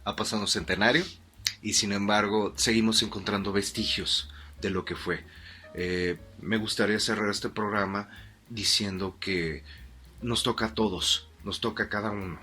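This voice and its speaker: A man playing a formal history-program host in a radio drama, speaking with hesitant pauses. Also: fairly low pitch, steady tone, bright, forceful tone, soft background music. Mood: formal, courteous. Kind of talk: radio-drama interview hosting.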